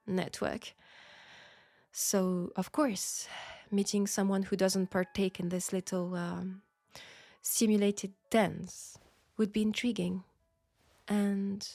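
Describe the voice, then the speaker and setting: A woman playing a candid, proud French seamstress in a steampunk audio drama, speaking with a French accent in a formal, deliberate way. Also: medium pitch, little pitch movement, gravelly, dark tone, no echo, faint music behind. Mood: wry, musing. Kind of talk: intimate scripted audio roleplay.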